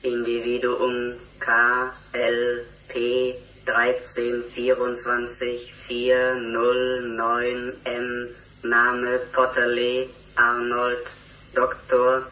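An actor playing an emotionless electronic robot speaker in a radio drama, reciting file data in a mechanical staccato. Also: male voice, medium pitch, completely flat delivery, drawn-out words, sharp tone, reverberant, recorded from a distance, low-quality recording. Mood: flat, emotionless.

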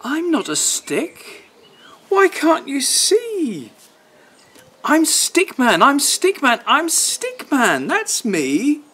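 A man's voice: extremely high pitch, huge pitch swings, extremely slow, sharp tone, pitched above the performer's own voice, exaggerated voice. A man voicing an indignant, pleading little stick creature in a children's storybook, chanting plaintive protests.

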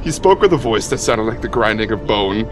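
A man's voice: lowish voice, sing-song, fairly quick, over music. A man narrating a horror story with exaggerated drama, for laughs. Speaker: reader of a creepypasta. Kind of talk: comedic dramatic reading.

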